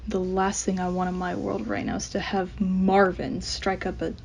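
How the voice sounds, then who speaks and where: A woman's voice: fairly low pitch, flat delivery, normal speed, vocal fry, a little mellow, a little hiss or hum. A woman playing a shy, weary accountant in a radio mystery drama, grumbling to herself in a guarded way. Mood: wary. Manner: muttering to herself, sighing, guarded.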